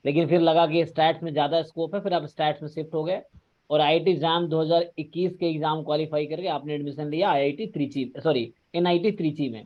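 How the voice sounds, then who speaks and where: A man with a somewhat high voice leads the interview over a video call, explaining things in an animated, talkative way. The audio sounds dull and lo-fi. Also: fast talker. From Bihar. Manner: animated, explains in a mix of Hindi and English. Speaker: interviewer and coaching teacher. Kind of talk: casual conversational interview.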